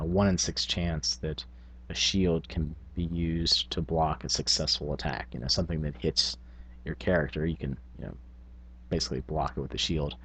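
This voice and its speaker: Deep, husky-voiced man chatting casually. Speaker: tabletop gaming video host. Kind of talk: casual unscripted vlog talk.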